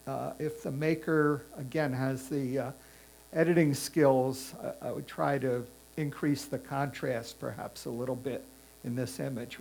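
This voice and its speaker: A man with a creaky, gravelly voice and a middling pitch judges photographs. He speaks in a measured, tactful way, pausing as he picks his words for each critique. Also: darkish tone, slight background noise, rough recording. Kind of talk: spoken image critique.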